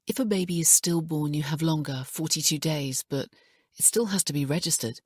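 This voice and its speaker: A calm female detective, gentle and measured, speaking in a low, husky tone, as a woman reading the story puts her on. The sound is close and dry. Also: no echo.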